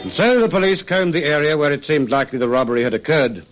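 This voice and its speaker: A man's voice: on the high side, expressive, fast, faint music behind. A man narrating a scripted radio crime drama.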